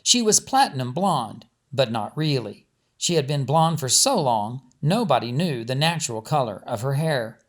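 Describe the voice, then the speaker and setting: A man with a medium-pitched voice works as author and narrator. He moves between casual, conversational asides and scripted storybook narration. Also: very animated, normal speed.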